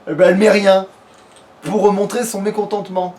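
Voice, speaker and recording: A man's voice: high-pitched, flat delivery, normal speed, darkish tone. A man giving casual let's play commentary in a crude, slangy way, swearing freely. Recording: clearly noisy home recording.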